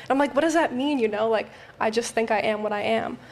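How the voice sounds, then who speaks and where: A woman talks at a rapid clip on a podcast panel, her voice fairly high. She rambles casually and fills her sentences with "like.". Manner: chatty, rambling, uses "like" a lot.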